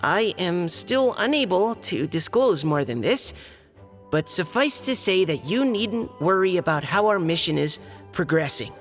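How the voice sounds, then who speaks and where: A dutiful young knight reads a long letter aloud in a slow, deliberate way. His pitch sits in a middle range, and the hall gives a long reverb under faint music. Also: a bit bright, full character voice. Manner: careful, formal reading, halting when flustered. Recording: game cutscene with reverb and music.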